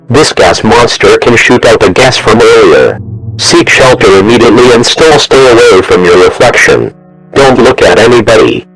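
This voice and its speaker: A man's voice: lowish voice, a bit bright, poor audio. A man's synthetic-sounding voice formally reading a scripted emergency announcement.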